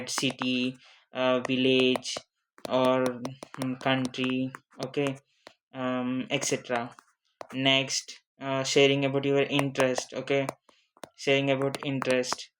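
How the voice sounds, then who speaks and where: A non-native English speaker gives an informal lesson at a slow, halting pace. The teacher repeats short phrases and keeps checking for understanding. The pitch sits in a middle range, with faint noise behind the voice. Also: fairly bright tone. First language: not English. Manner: halting, repetitive, frequently checking with "okay?". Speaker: online teacher of interview English. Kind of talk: informal spoken lesson with examples.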